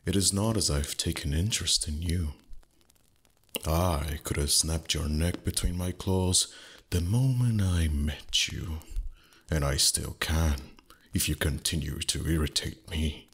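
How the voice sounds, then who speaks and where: Guy doing a super deep raspy breathy dragon, slow n smug, teasing tsundere asmr roleplay. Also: fairly bright tone, full character voice. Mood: scornful, defensive. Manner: slow, condescending, flustered tsundere pauses. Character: haughty male dragon, teasing, secretly fond. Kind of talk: intimate roleplay ASMR acting.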